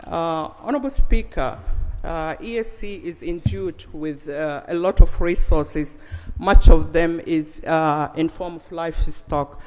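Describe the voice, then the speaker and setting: A man from South Sudan speaks in a fairly high register, delivering a motion in a measured, formal way with frequent "uh" fillers. His voice carries the long reverb of a large legislative chamber. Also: a little mellow. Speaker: member of parliament moving a motion. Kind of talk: formal reading of a motion, then plain remarks.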